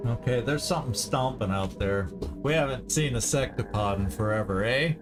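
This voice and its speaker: A man giving casual commentary on a strategy game, thinking aloud in a deliberate way as he plays. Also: mid-range voice. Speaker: gaming video host. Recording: game music behind the voice. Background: video game music.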